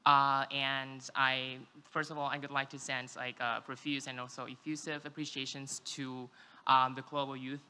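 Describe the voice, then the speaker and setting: A young man from Vietnam with a Vietnamese accent gives an informal speech as a student, halting often and peppering it with fillers, in an echoing hall. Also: mid-range voice, flat delivery, bright, forceful tone, a little hiss or hum. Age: young adult. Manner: halting, filler-heavy, frequent "like". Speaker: university student speaker. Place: large event hall, on stage.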